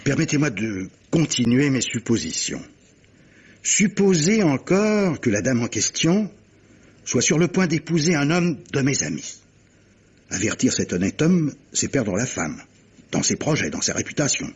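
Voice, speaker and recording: A grave gentleman captain in a vintage French radio mystery. His voice is very rough and husky, set at an ordinary male pitch. He speaks formally and insistently, measuring out each phrase like old stage-trained radio acting. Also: very animated, unhurried, dull audio. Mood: measured, insistent. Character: grave gentleman captain pressing a guilty woman with hypotheticals. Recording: old radio drama recording.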